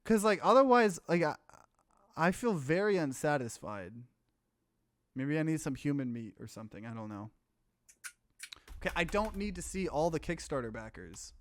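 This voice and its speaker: A man's voice: on the high side, expressive, normal speed, long pauses. A man doing casual let's play commentary, reading game text aloud and reacting to it as a streamer.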